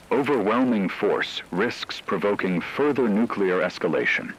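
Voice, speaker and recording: A man playing a deep-voiced, grave war correspondent. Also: slight background noise, rough recording. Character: grave male radio war correspondent in a crisis broadcast. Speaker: radio news correspondent. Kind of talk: scripted fictional emergency news broadcast.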